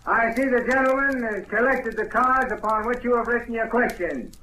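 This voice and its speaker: An actor playing a grandiose sideshow barker in an old-time radio mystery, declaiming with showy flourish. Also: male voice, very high voice, brisk, bright, forceful tone. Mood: showy, proclaiming. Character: grandiose sideshow barker presenting a mind reader. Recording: echoey, faintly noisy old radio recording.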